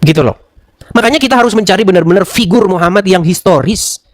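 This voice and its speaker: A man's voice: high voice, fast, dry recording, clean studio sound. A man arguing in an online debate, mocking and pressing with rhetorical questions. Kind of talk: heated debate and commentary. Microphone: online voice room.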